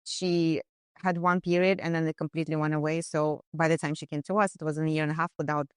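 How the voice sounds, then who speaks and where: A woman nutritionist on a podcast, describing a client case in casual, halting speech full of fillers and restarts. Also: low voice, level tone, smooth, no echo, studio quality. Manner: hesitant, many fillers and restarts. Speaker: nutritionist guest. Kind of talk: conversational case discussion.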